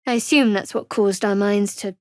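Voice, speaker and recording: A woman's voice: mid-range voice, steady tone, normal speed, no room sound, close to an everyday voice. A woman playing a cool, wry fantasy cleric, close-miked. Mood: frank, guarded. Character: guarded, wry, devout young female cleric adventurer. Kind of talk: fantasy video game voice acting.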